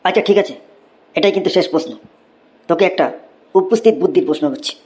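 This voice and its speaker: An actor voicing a theatrical, excitable schoolteacher who scolds and gushes in a comedy cartoon. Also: mid-range voice, fast, close to an everyday voice. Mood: stern quizzing. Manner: theatrical, swinging from scolding to gushing praise. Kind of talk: exaggerated Bengali cartoon comedy dubbing.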